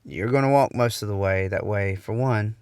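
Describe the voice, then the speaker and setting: A young man talks through his solo podcast with a stuffy, slightly nasal voice, sitting low and smooth in his register. He rambles easily, full of ums and restarts. The sound is close and dry. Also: moderate pace, a little mellow, no room sound. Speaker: podcast host. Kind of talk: casual solo podcast talk.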